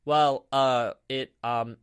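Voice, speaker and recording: A man's voice: medium pitch, fairly even intonation, takes it slowly, clean tone, lightly acted. A man playing an obsequious, nervous Imperial officer in a Minecraft roleplay, flustered and over-polite. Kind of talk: hobby Minecraft roleplay acting.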